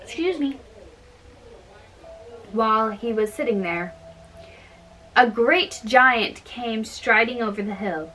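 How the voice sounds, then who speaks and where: Female storyteller, higher-pitched and very bright, halting read-aloud of fairytales. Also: a little hiss or hum, rough recording.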